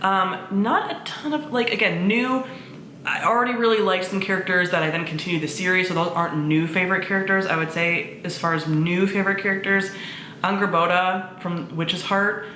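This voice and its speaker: A woman's voice: lowish voice, normal speed, pushed and bright. A woman chatting casually as a video host, gushing and informal in her enthusiasm. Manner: gushing, informal, mild swearing. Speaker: book reviewer, video host. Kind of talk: casual chat to camera. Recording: echoey room, faint background noise.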